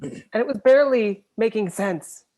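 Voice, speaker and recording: A woman's voice: fairly high pitch, moderate pace, dark tone, lightly acted. A woman acting a blunt, sarcastic, exasperated millennial best friend in a rom-com script read, with dry delivery. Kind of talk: screenplay table read, rom-com dialogue.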